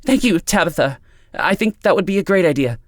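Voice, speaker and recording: An anxious young woman in an audiobook , played by a male narrator. The voice is lifted high and swings widely in pitch, timid and pleading with a nervous tremble. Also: lively intonation, takes it slowly, dry recording, studio quality. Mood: relieved, placating.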